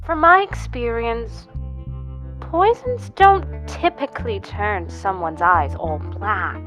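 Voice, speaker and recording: Slow, swooping, high-voiced curious arms seller played by a woman. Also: expressive, a bit bright, soft background music. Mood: intrigued. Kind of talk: audio drama dialogue.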